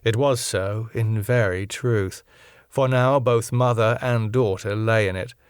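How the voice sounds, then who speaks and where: A man reading a classic novel as an audiobook narrator, in a measured, solemn, literary delivery. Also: fairly low pitch, steady tone, smooth, dry recording, clean studio sound.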